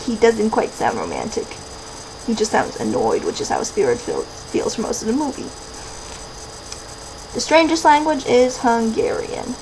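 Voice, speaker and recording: A woman's voice: fairly high pitch, very animated, moderate pace. A woman in her twenties with an American accent, chatting casually to camera as a vlogger and rambling into asides. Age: 20s. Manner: rambling, self-interrupting asides. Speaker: vlogger answering viewer questions. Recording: clearly noisy background hiss and ambience.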